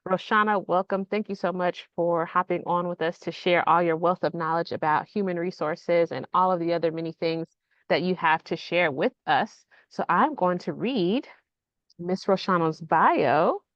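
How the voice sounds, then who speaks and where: A woman's voice: fairly low pitch, moderate pace, a bit bright, dry recording. A woman hosting a webinar, welcoming a guest and reading her bio aloud. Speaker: host. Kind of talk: introducing a guest. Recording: dull, dry lo-fi sound.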